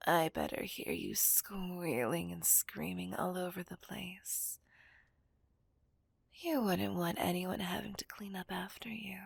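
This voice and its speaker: A woman's voice: fairly low pitch, creaky, breathy edge, dry recording, heavily acted. A woman playing a dominant, taunting female villain in an ASMR roleplay, whispering breathily and possessively to a hostage. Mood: cold, threatening.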